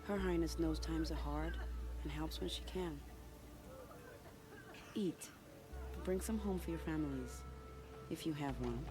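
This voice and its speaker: A woman's voice: low-pitched, unhurried, pauses often, music in the background. An actress playing a sly, flirtatious female spy in a game, teasing and confiding in a playful tone. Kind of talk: video game cutscene acting.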